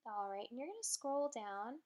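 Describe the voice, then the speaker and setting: A woman's voice: a little high, big pitch swings, moderate pace, darkish tone. A woman walking new support staff through a messaging tool, talking casually with many ums and uhs as she explains each step. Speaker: customer support trainer. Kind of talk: screen-share training walkthrough.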